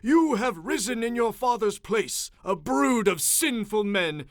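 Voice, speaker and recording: A stern biblical leader, speaks in a high-pitched voice for a man, close and dry. He delivers solemn, forceful oratory in measured phrases, as one commanding his people in an audio Bible drama. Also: animated, no echo. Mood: angry, warning.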